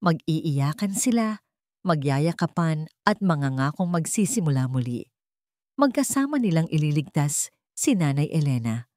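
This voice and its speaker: A woman's voice: low voice, very animated, moderate pace, darkish tone, dry recording, clean studio sound. A woman reading a scripted dramatic story as an audiobook narrator.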